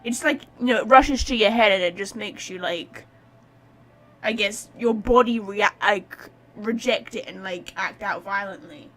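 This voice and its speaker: A woman's voice: medium pitch, measured pace, a bit bright, poor audio. A woman streamer giving casual gameplay commentary, playfully talking back to the characters. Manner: playful, reacting aloud to characters.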